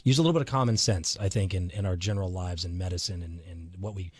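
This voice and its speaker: A man co-hosting a casual studio talk show, joking around and talking in an animated way. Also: deep voice, fast, husky.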